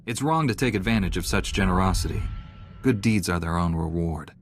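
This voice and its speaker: A principled male space knight from a video game, low-pitched and serious. His delivery is measured and dry, with faint ambient noise behind the dialogue. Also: expressive. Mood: earnest. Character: earnest, principled, straight-laced male Jedi knight hero.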